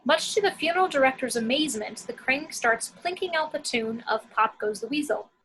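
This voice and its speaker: Bright, high-voiced woman narrating a script. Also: lively intonation, fairly quick, a little hiss or hum, poor audio. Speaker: action-description reader.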